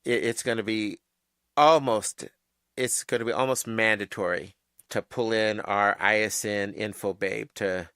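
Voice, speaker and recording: Bright-voiced chatty male podcast co-host. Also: on the low side, measured pace, smooth, dry recording, studio quality. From Mexican-American family. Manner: explains carefully, chatty. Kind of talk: casual chat.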